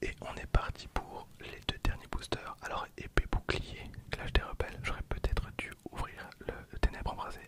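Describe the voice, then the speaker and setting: A man in his twenties speaks entirely in a hushed whisper. He murmurs casual ASMR commentary in an informal, chatty way, keeping his voice soft and breath-led throughout. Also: moderate pace, few pauses. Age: 20s. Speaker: ASMR video creator.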